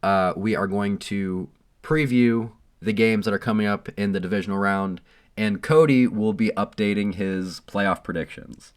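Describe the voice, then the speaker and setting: A low-voiced man co-hosts a casual sports podcast, his voice hoarse from illness. He talks in a loose, teasing way, with plenty of ums along the way. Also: moderate pace. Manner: playful, boastful teasing with frequent ums. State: hoarse from being sick. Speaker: podcast co-host.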